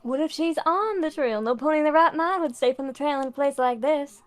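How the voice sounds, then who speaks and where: Chatty higher-voiced woman brainstorming. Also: brisk. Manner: thinks aloud, laughing, tries out lines. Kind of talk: casual chat brainstorming dialogue.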